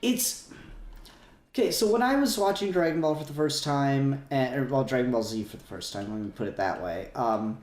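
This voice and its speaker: A man's voice: medium pitch, animated, normal speed, a little mellow, reverberant. A man hosting a casual fan discussion podcast, talking in a rambling way and often correcting himself. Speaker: podcast host.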